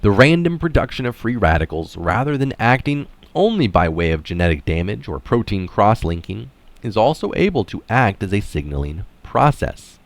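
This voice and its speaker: A man's voice: on the low side, big pitch swings, normal speed, dry recording. A man reading an article aloud in narration style, dropping in casual, opinionated asides of his own. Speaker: reader of an article adding his own comments.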